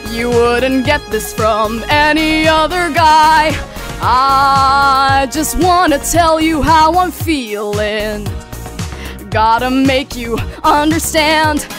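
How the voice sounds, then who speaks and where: Woman singing karaoke over loud music. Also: sits high. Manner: singing along loosely, fumbling some words. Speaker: streamer.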